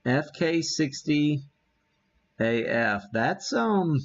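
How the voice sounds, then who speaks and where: A man hosting a live hobby stream, giving a casual demo and thinking aloud in a halting way. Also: fairly high pitch, expressive, dull audio. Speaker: ham radio live stream host.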